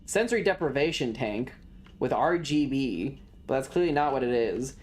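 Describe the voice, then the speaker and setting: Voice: mid-range voice, lively intonation, moderate pace. A streamer chatting casually while playing a video game, rambling along to themselves and the viewers. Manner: rambling, talks to self and chat. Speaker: streamer hosting a live stream.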